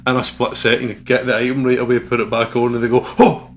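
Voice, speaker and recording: A man's voice: mid-range voice, level tone, quick pace, a little hiss or hum. A man narrating a visual novel aloud as a let's player, with playful, casual and sweary commentary.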